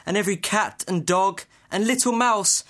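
A man's voice: high-pitched, normal speed, a bit bright. A man playing an anguished, devoted young banished lover, earnest and declamatory, in a Shakespeare audio drama.

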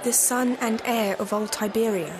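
Household nurse, played by a young woman, bustling and watchful, slightly high voice, Shakespearean radio-play acting. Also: steady tone, moderate pace, a crowd in the background, background noise, lightly acted. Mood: grave. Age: young adult. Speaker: student actor. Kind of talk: Shakespearean audio drama acting.

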